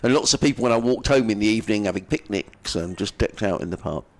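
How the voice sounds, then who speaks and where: A man's voice: lowish voice, animated, fast. A middle-aged man giving chatty cricket commentary, friendly and rambling, mixing ball-by-ball calls with asides. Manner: rambling, friendly, lots of fillers and restarts.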